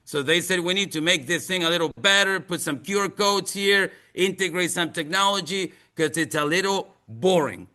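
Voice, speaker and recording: Male presenter, Chilean accent, native Spanish speaker. Slightly high, bright forceful voice, deliberate and earnest delivery. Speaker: museum outreach presenter. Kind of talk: presentation to an audience with slides.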